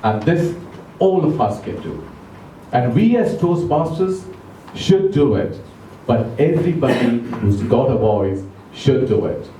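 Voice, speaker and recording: A man giving an educational talk to a club audience, speaking slowly and deliberately, asking them questions, English not his first language. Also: mid-range voice, animated, dark tone, recorded from a distance, a little hiss or hum. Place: club meeting room. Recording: echoey room recorded from afar.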